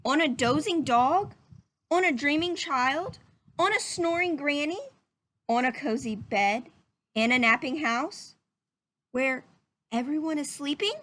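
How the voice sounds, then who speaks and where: Slow, high-voiced woman reading a picture book. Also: a bit bright, a little hiss or hum. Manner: slow, drawn-out storybook phrasing with questions to young listeners. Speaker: teacher reading aloud. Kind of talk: picture book read-aloud for children.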